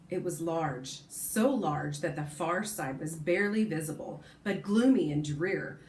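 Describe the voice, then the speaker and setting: A woman teacher reading a novel aloud to her class, expressive and pausing to comment or correct herself. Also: on the low side, reverberant, a little hiss or hum.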